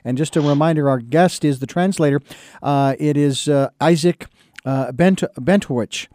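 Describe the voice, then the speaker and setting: A male interview host asks his guest questions in a friendly, measured way. His voice sits a little above the usual male pitch. The recording is close and dry. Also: warm tone, no echo.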